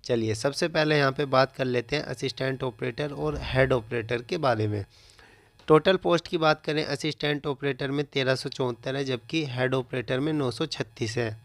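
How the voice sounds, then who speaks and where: Man, mid-pitched, fry in the voice, coaching explainer, English terms mixed in, dry sound. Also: normal speed, no echo. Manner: repetitive filler phrases, mixes in English exam terms. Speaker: exam coaching presenter. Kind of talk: informational explainer for exam candidates.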